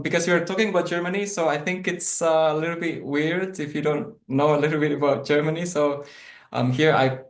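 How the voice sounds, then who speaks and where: Indonesian man presenting in an echoey room. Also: on the high side. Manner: hesitant, many fillers and restarts. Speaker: guest presenter in an online class. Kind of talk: online class presentation.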